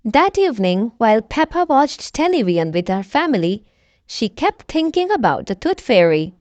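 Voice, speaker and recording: A woman's voice: a little high, very animated, normal speed, darkish tone, dry recording. A woman reading a children's bedtime story aloud as a storyteller.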